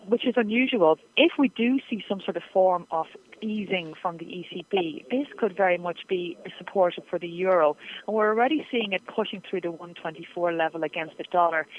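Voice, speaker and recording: A woman giving measured market analysis as an interview guest over a telephone. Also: lowish voice. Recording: tinny, muffled telephone line.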